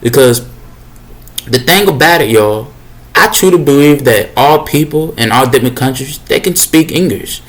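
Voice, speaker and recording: Casual preachy young man, deep voice. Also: lively intonation, moderate pace. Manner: casual, repetitive, preachy, with slang fillers. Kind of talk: rambling motivational monologue.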